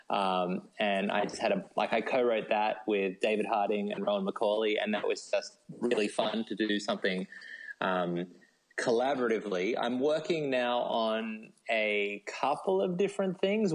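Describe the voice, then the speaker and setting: Australian man, interview guest. Low voice, informal, self-deprecating, easygoing delivery. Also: poor audio. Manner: laid-back, self-deprecating. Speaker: guest, novelist. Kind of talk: casual interview chat.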